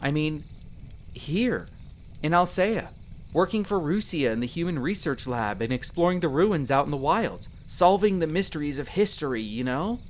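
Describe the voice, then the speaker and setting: A man's voice: on the high side, lively intonation, normal speed, natural voice. Man playing a modest young male elf, noisy ambience. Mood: earnest, reassuring. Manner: conversational, self-deprecating, earnest. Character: friendly young male elf explorer, modest half-outsider mage. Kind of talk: roleplay audio drama, speaking to the listener.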